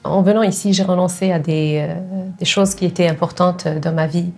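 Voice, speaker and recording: A middle-aged woman with an Algerian accent giving personal testimony in a hesitant way, with frequent pauses. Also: lowish voice, steady tone, a little mellow, a little hiss or hum. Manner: hesitant, pausing often. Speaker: documentary interviewee, sales assistant.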